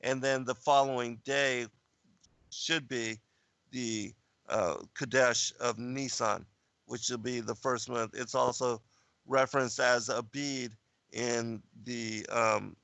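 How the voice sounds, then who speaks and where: A male guest explaining calendar dates in a casual talk, halting with many fillers. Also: mid-range voice, steady tone, vocal fry, fairly bright tone, dry recording. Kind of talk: casual discussion of biblical calendar.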